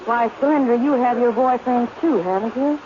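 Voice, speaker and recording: A woman's voice: on the high side, moderate pace, lightly acted. Sultry, tough American saloon dame played by a woman, flirtatious drawl, radio burlesque, muffled vintage sound. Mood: brassy, cool. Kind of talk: 1930s radio comedy burlesque acting. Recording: noisy, dull old radio recording.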